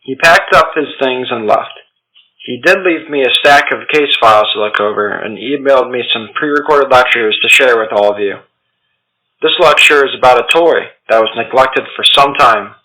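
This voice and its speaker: A man playing a formal substitute teacher at an occult academy, addressing a class in a measured, scripted audio drama style. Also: mid-range voice, sharp tone, natural voice, poor audio. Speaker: narrator.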